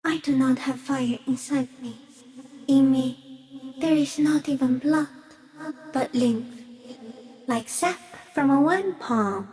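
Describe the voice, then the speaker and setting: Game creature: a detached, curious stick insect. High, mellow, soft and halting. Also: female voice, soft background music. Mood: detached, calm. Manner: slow, halting, deliberate musing in short fragments. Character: ancient, serene, otherworldly giant stick insect, detached and curious. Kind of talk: video game character voice acting.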